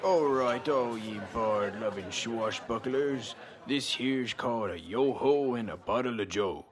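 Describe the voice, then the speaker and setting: A man's voice: mid-range voice, measured pace, faint music behind, natural voice. A man playing a jovial tavern bard addressing drinkers in a fantasy audio drama.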